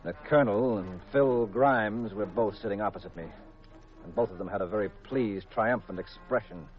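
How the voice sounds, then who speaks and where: Seeking a man to play a hard-boiled gumshoe: very deep, deadpan, vintage muffled radio sound with music. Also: normal speed, close to an everyday voice. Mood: matter-of-fact. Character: hard-boiled male private detective, cool, wry, narrating his case. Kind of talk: 1940s-50s radio mystery acting, first-person narration.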